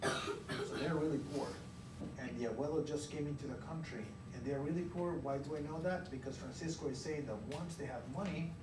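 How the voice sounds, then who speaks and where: A man teaching a class through an interactive read-aloud, pausing to question and explain as he goes. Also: on the high side. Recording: echoey room, far from mic, loud noise.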